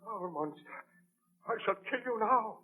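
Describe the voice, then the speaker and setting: A man playing a haunted, defiant gentleman scientist with a melodramatic, formal delivery in a 1930s radio drama. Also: high-pitched, animated, measured pace, breathy edge, exaggerated voice. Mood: defiant, desperate. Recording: tinny band-limited 1930s radio broadcast.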